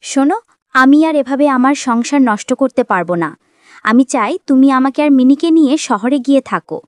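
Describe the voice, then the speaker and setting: A woman's voice: high-pitched, brisk, no room sound, lightly acted. A woman voicing an arrogant, selfish young wife in a cartoon drama, scolding and complaining. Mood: demanding.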